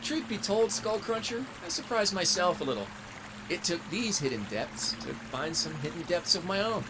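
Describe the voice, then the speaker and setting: An actor playing a plucky, defiant heroic robot in a video game, with a wry, quippy manner. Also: male voice, high-pitched, big pitch swings, moderate pace, background noise. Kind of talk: video game cutscene acting. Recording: loud music behind the voice.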